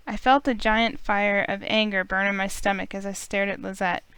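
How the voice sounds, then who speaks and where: Smooth-voiced woman reading a story aloud. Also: mid-range voice, flat delivery, normal speed, a bit bright, no echo. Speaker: host reading a game playthrough. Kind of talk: expressive story narration read aloud.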